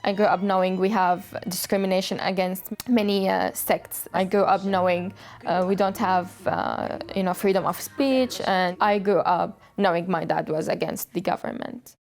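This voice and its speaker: A woman's voice: fairly low pitch, fairly even intonation, moderate pace, darkish tone. A young woman from Syria, speaking reflectively and with some hesitation in a documentary interview. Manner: reflective and hesitant, frequent "you know" fillers. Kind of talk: documentary interview and personal testimony. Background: background music.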